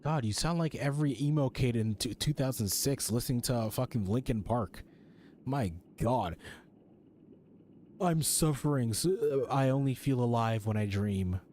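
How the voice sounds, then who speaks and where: A man's voice: medium pitch, normal speed, a little mellow, slight background noise. A man streaming a game with casual, opinionated commentary, blunt and emphatic, repeating his points and swearing freely.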